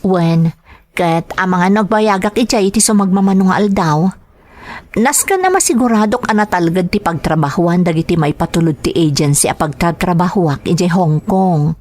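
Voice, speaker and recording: A woman's voice: on the low side, lively intonation, moderate pace, a little mellow, natural voice. A woman playing an evasive working wife in an audio drama, with a hesitant, casual way of talking. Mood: matter-of-fact. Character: evasive working wife who often travels abroad. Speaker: voice actor in a drama. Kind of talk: Ilocano audio drama acting.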